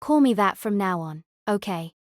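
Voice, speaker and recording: A woman playing a cool, deadpan young woman senpai who talks in a flat, demanding way, in an audio drama. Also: medium pitch, moderate pace, dry recording, studio quality, close to an everyday voice. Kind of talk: amateur audio drama acting.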